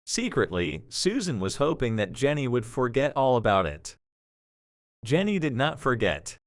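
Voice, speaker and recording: Expressive male story narrator, lively intonation. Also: medium pitch, normal speed, dry recording, clean studio sound. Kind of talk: scripted dramatic story narration.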